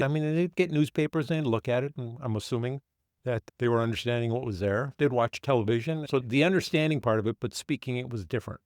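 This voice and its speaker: An older man whose first language was Finnish recalls his family history in a plain, middle-pitched voice. He talks without hurry, often backing up to correct himself. The sound is close and dry, with no room around it. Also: dry recording. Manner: unhurried, with halting restarts and self-corrections. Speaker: guest. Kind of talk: reflective storytelling interview.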